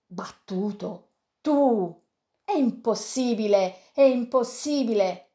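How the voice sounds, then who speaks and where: A woman reading an audiobook, voicing a loyal, excitable male pirate friend who speaks in a raised, pleading tone. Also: moderate pace, natural voice. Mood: disbelieving. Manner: excited, pleading, raised and higher than the narration.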